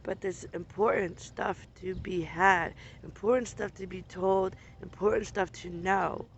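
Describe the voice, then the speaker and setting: Man, hoarse and getting sick, slow deliberate delivery, faint background noise, earnest and repetitive. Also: high-pitched, fairly even intonation, fairly bright tone, lo-fi sound. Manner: earnest, repetitive, frequent throat clearing. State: losing his voice, getting sick. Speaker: vlogger making a public appeal. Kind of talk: unscripted appeal to camera.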